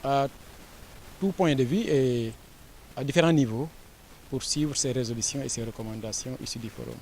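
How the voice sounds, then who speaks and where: A man with a Senegalese accent speaks as an education official in a formal interview. His delivery is measured and deliberate, with frequent pauses and fillers at a middle pitch. A faint ambient hum sits behind him. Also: a little mellow.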